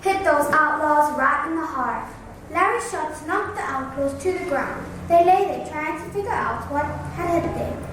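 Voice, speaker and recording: A high-voiced female reader narrating a scripted story aloud in a very echoey, noisy room, away from the mic. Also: unhurried, fairly bright tone, distant mic. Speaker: student narrator in a readers theatre.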